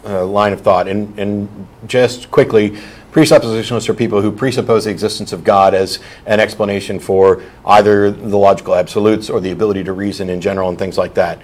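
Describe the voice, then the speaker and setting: A man's voice: fairly low pitch, steady tone, brisk, a little mellow. A man hosting a call-in talk show, answering a caller bluntly and explaining his arguments at length. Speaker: host.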